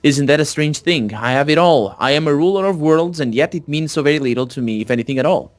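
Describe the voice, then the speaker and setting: Lively man doing game commentary. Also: on the high side, quick pace. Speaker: gamer, co-op let's play commentator. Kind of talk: casual gameplay chat, reads game text aloud.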